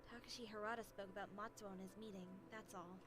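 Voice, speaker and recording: A woman's voice: mid-range voice, fairly even intonation, fast talker, fairly bright tone, a little hiss or hum, natural voice. An actress playing a serious, precise, matter-of-fact young woman in a visual novel.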